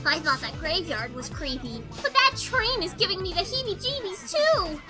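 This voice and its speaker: A woman voicing a hyperactive, cheeky little boy bee in a cartoon fan dub, excitable and childish. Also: extremely high pitch, moderate pace, music in the background. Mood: spooked.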